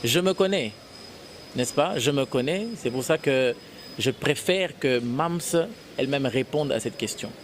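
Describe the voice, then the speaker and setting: A man's voice: mid-range voice, unhurried, slight background noise. A Congolese man with a Congolese French accent, answering interview questions casually and switching between French and Lingala. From Congo (DRC), Kasai. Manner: mixes French and Lingala, rambling with restarts. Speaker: interviewed actor.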